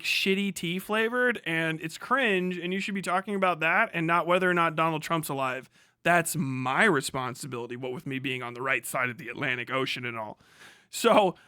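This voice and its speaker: High-pitched American man ranting, bright and clear. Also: dry recording, clean studio sound. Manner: foul-mouthed, animated, mocking. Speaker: livestream host. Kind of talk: ranting live stream commentary, casual.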